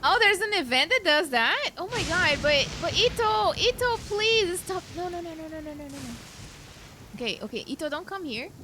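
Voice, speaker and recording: A woman's voice: extremely high pitch, sing-song, normal speed, background noise. A woman giving casual let's play commentary, reacting in an informal, giggly, exclamatory way. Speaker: gaming streamer playing a story quest.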